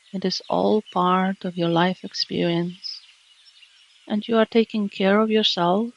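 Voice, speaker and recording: A woman meditation teacher narrating a guided relaxation in a gentle, calming, measured way with long pauses. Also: medium pitch, creaky, muffled recording. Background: birdsong and nature sounds.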